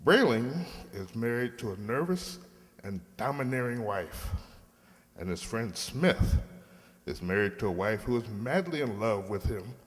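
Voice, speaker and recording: Male host, mid-range voice, measured scripted delivery, formal, presenting a radio drama program, echoey hall. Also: medium pitch, animated. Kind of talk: scripted introduction to a live radio play. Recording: reverberant hall sound.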